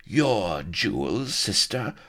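A man voicing a sneering, gloating female pirate villain with an oily gravel voice and taunting mock-archaic speech, in a comic storybook. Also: on the low side, sing-song, slow pace, fairly bright tone, pitched above the performer's own voice, exaggerated voice. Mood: gloating menace.

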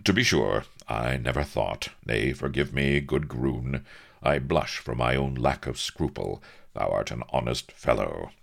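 A man's voice: rumbling low, unhurried, vocal fry, fairly bright tone, exaggerated voice. A man voicing a dashing, eager pirate captain from a storybook in florid, hearty, archaic speech. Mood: contrite, noble.

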